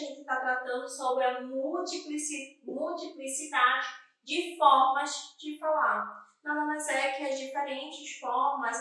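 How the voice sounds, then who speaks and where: A woman's voice: high voice, pushed and bright, heavy reverb, distant mic, low-quality recording. A young woman from Northeast Brazil with a Northeastern Brazilian accent, teaching an exam-prep lesson and checking in with "tá?" after nearly every point. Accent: Northeastern Brazilian Portuguese. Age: young adult. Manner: explanatory, frequent "tá?" check-ins.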